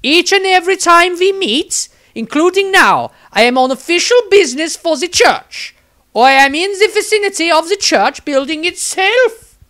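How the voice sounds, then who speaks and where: A man's voice: very high-pitched, expressive, moderate pace, pushed and bright, voice pushed up, heavily acted. A man voicing an overbearing, amorous, zealous nun in an audio story, gushing and cooing with great emphasis. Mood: zealous, insistent.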